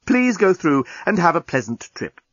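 A man's voice: sits high, big pitch swings, normal speed, fairly bright tone, no echo, voice pushed up, heavily acted. A man voicing an officious male robot desk clerk with a simulated, strangled voice. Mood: fawning. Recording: dull, dry recording.

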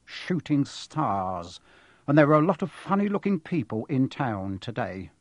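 A man's voice: medium pitch, big pitch swings, a little slow, no room sound. A man voicing a nervous middle-aged English husband who hesitates and mumbles evasively in an audiobook. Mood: nervous, hesitant.

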